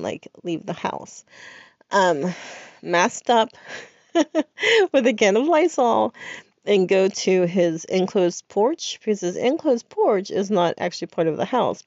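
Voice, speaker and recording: A woman's voice: lowish voice, a little mellow, no echo, muffled recording. Chatty woman with a head cold. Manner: chatty, upbeat, rambling. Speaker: podcast host. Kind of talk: casual personal chat.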